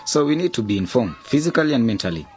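A man's voice: mid-range voice, very animated, fast, darkish tone, lightly acted. Male actor as stern, competitive young teammate in radio play, muffled with faint noise. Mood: warning. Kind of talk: radio drama acting.

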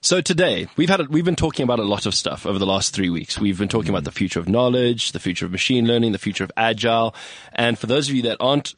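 Chatty radio host. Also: male voice, on the low side, expressive, fast. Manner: upbeat, chatty presenter. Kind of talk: casual radio hosting. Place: radio studio.

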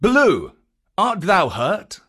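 A man's voice: high voice, huge pitch swings, slow, sharp tone, breathy edge, voice pushed up, heavily acted. A man voicing a stern dry panther, cool, clipped storybook speech. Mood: worried.

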